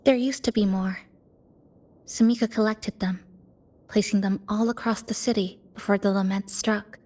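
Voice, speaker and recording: An actress playing a composed young woman in a video game, speaking in a measured, reflective way. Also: mid-range voice, fairly even intonation, a little mellow, close to an everyday voice. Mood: calm, explaining. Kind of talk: video game cutscene voice acting.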